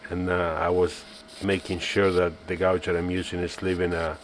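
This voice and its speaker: A man from Argentina, narrating his woodturning work in a relaxed, self-mocking voice-over with many fillers. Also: sits low, normal speed, slight background noise. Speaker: woodturning channel host.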